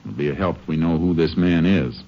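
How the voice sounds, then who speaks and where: An actor playing a calm, methodical Texas Ranger in a 1950s radio western, speaking in clipped, matter-of-fact phrases. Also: male voice, very deep voice, fairly quick. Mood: businesslike, probing. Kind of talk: 1950s radio western drama acting and narration. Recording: dull old radio recording with clear hiss.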